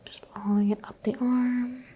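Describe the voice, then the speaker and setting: A woman whispering gently as a doctor in an ASMR roleplay, guiding a patient through a skin exam. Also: on the high side, fairly even intonation, normal speed, slightly rough. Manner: soft whispering, gentle step-by-step instructions. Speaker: ASMR creator playing a doctor.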